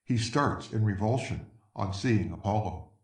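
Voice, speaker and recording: A man's voice: fairly low pitch, unhurried, a little mellow. A man serving as narrator, reading scripted stage directions. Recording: echoey room, distant from mic.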